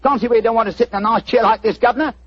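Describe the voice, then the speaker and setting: An actor playing a cheeky, chummy furniture remover with a Cockney accent, coaxing and full of slang, in 1940s radio acting. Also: male voice, very high voice, fairly even intonation, very fast. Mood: cheery, coaxing. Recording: dull old radio recording.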